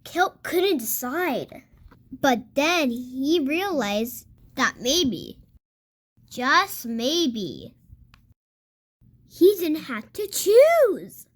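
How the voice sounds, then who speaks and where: A child reading a picture book aloud for other kids, with expressive, emphatic storytelling. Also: high voice, very slow, pauses often. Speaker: host of a read-aloud channel.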